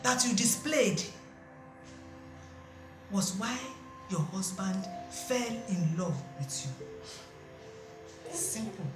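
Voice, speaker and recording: A woman's voice: high-pitched, expressive, quick pace. A woman playing a shrewd older aunt who mocks, then lectures her niece. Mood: lecturing. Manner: brusque, mocking, then lecturing. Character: shrewd, scolding older aunt giving advice. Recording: roomy with music behind.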